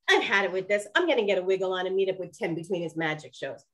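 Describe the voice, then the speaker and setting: A woman's voice: lowish voice, animated, quick pace, a bit bright. A woman playing a flamboyant, theatrical 1920s lady detective with dramatic emphasis in an audio drama. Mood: brisk, impatient.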